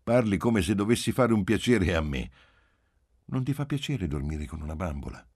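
A man reading a story in the part of a calm father who teases and gently chides his child. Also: deep voice, normal speed, slightly rough, no echo, studio quality, voice pushed up. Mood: amused. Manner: teasing, patient.

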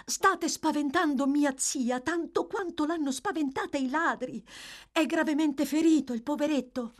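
A woman voicing a gentle, kindly young lady in an audiobook, soft and hushed yet quietly in command. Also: high-pitched, normal speed, voice pushed up, lightly acted. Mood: hushed, concerned. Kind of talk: audiobook character voice.

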